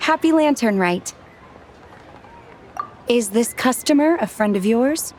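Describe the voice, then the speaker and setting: A woman playing a calm, earnest, reserved young restaurant helper in a video game, composed and polite. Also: on the high side, takes it slowly, a little hiss or hum. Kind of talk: video game cutscene dub acting.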